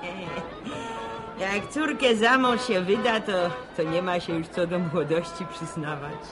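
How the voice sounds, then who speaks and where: A woman's voice: lowish voice, a little slow, over music, close to an everyday voice. An actress playing a grumbling, nostalgic middle-aged village mother in a radio drama, with a sighing manner. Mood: wistful.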